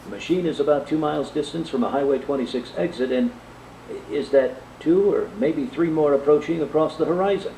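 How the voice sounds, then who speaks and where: A man's voice: on the high side, brisk, mellow, close to an everyday voice. A man playing a flustered, formal television news anchor covering a crisis in a radio drama. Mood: uneasy, formal. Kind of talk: live newscast radio drama acting. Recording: echoey room playback, faint noise.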